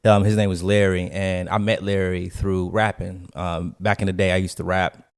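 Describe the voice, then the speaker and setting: A man from co-hosting a podcast, telling stories in a casual, slangy and animated way. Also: low voice, normal speed, clear, resonant tone. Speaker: podcast co-host and storyteller. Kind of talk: casual conversational storytelling.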